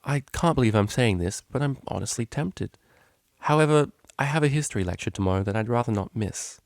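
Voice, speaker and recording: A man's voice: mid-range voice, expressive, a little fast, no echo, clean studio sound, natural voice. A man voicing a prim, polite and naive young female cellist pony in a storybook reading, with formal, proper diction.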